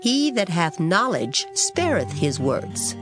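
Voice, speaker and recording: Lowish, expressive woman reading scripture over music. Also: slow. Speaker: scripture narrator. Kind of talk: dramatized King James Bible reading. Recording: music under the voice. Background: background music.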